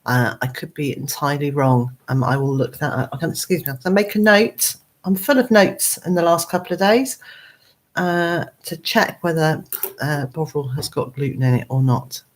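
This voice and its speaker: A woman with a hoarse, croaky voice, hosting a casual live chat from her computer at home, rambling with many ums and uhs. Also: sits low, moderate pace. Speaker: gardening channel host.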